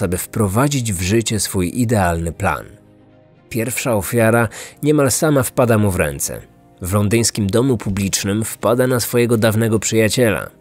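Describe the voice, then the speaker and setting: A man's voice: lowish voice, darkish tone. A man narrating a scripted true-crime podcast. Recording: music underneath.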